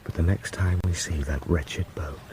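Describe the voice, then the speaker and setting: A man acting a domineering, hot-tempered but protective husband in an audio drama, emphatic, dropping to a hush at times. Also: low-pitched, steady tone, moderate pace, noisy background, natural voice. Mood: bitter. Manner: emphatic, scornful when roused, hushed when tender.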